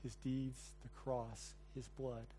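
A man's voice: medium pitch, flat delivery, slow, mellow, slight background noise. A pastor closing a sermon and leading a prayer in church, earnest, building his lines in repeated phrases. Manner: earnest, repetitive praying cadence.

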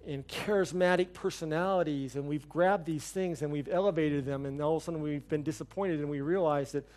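Earnest preacher man praying. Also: a little high. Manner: earnest, repetitive praying cadence. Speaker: pastor. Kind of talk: sermon closing in prayer. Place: church.

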